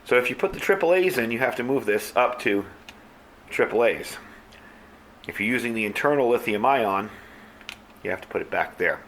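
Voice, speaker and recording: Guy casually talking thru a gadget review indoors, average pitch, bit of noise behind him. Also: lively intonation, moderate pace, long pauses, fairly bright tone. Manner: conversational, informal. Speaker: product reviewer. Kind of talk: casual hands-on product review. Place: indoors, small room at a tabletop. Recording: clear background room noise.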